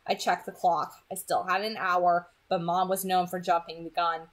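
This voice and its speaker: A woman's voice: fairly low pitch, level tone, normal speed. A woman reading a first-person story aloud as its narrator.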